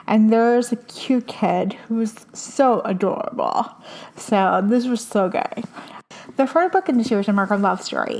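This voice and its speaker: A young woman from hosts a casual podcast, her Spanish. She talks eagerly, full of fillers and little restarts, at an ordinary mid pitch for a woman. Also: darkish tone. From Puerto Rico. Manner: enthusiastic, chatty, many fillers. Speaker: podcast host. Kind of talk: casual book recommendations.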